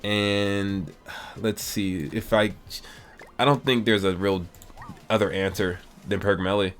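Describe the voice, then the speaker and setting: Man, twenties, gaming youtuber, casual Q&A chat, relaxed and rambling, lowish pitch. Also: slow, soft background music. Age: 20s. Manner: laid-back, rambling, slangy.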